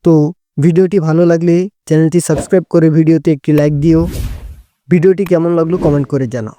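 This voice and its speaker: A man's voice: on the high side, fairly even intonation, a little fast, mellow, slight background noise. A man narrating a scripted cartoon fable as a storyteller.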